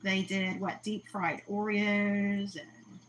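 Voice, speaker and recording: A woman's voice: medium pitch, level tone, slow, slight background noise. A woman hosting a casual livestream, chatty, telling stories and laughing often. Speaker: host of an aquarium livestream. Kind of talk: casual chat.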